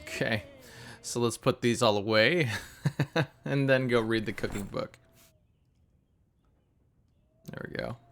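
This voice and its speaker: A man's voice: mid-range voice, unhurried, creaky. A man with a nasal voice, groggy from just waking up, chatting casually and rambling with fillers as he streams a game. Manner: relaxed, rambling, many fillers. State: sleepy, just woken up. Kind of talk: casual live-stream gameplay commentary.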